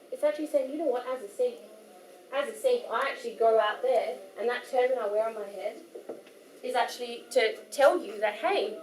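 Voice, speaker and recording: A young Australian woman with an Australian accent giving an informal workshop talk to a live audience, chatty and animated, full of asides and fillers. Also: a little high. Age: young adult. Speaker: workshop speaker. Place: community hall. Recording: echoey hall with faint noise.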